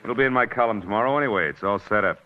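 A man's voice: fairly low pitch, natural voice. An actor playing a cocky, wisecracking male scandal columnist, in 1940s radio acting. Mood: smug. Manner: smug, wisecracking, fast-talking. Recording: dull old radio broadcast, faint hiss.

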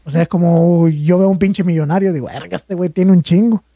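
Young male podcast co-host, Mexican accent, slangy and rambling, mellow tone. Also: sits high, steady tone, a little fast, dry recording. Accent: Mexican Spanish. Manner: slangy, rambling, swears freely. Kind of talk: casual chat.

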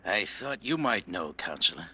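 An actor playing a menacing, sardonic crime boss in an old-time radio crime drama, speaking in slangy veiled threats. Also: male voice, deep voice, big pitch swings, moderate pace, pushed and bright, slightly rough, lo-fi sound, faint music behind, full character voice. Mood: sly menace.

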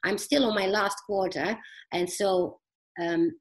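A middle-aged woman speaking as a podcast guest, telling personal stories conversationally in a halting, earnest, explanatory way. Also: medium pitch, fairly even intonation, low-quality recording.

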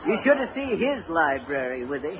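A man's voice: a little high, big pitch swings, normal speed, over a noisy crowd. An actor playing a folksy, blustering husband who mangles his grammar and spins tall tales in an old-time radio comedy. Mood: boastful storytelling. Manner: folksy, bungling, chuckling braggart. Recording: muffled, noisy vintage radio recording.

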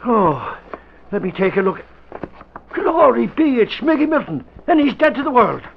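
An actor playing an excitable, blustery newspaperman in 1940s radio style, talking to himself in astonished exclamations. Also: extremely high pitch, normal speed, gravelly, slight background noise, exaggerated voice. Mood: astonished, excited. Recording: muffled old radio recording.

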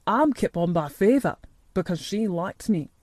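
A woman's voice: medium pitch, expressive, darkish tone, no room sound. A woman voicing a grumpy but tender old male gardener with a Yorkshire accent and dry manner in an audiobook. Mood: dry, crabbed. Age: older. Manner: dry, grumpy, slow-spoken, dialect speech. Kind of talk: audiobook character dialogue.

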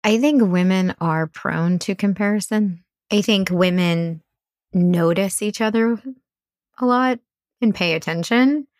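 A woman's voice: medium pitch, measured pace, darkish tone, dry recording, studio quality. An American woman speaking as a mental coach, giving earnest, encouraging advice in a conversational style. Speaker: mental coach, podcast guest.

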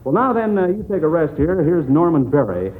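A man's voice: a little high, big pitch swings, brisk. An American man hosting a 1940s radio quiz in a folksy, encouraging manner. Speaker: quizmaster of a children's quiz show. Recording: muffled old narrow-band radio recording.

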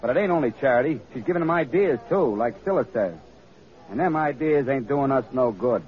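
A man's voice: mid-range voice, expressive, brisk, lightly acted. An actor playing an eager, worried henchman with an American accent, slangy and deferential in an old radio drama. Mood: worried insistence. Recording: muffled old radio recording.

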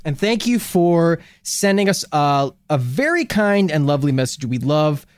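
A man's voice: fairly high pitch, big pitch swings, normal speed, smooth, dry recording. A man with a Chicago accent co-hosting a podcast in a rambling, joking way. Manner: jokey, rambling, self-interrupting. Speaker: podcast co-host. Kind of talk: casual comedic chat.

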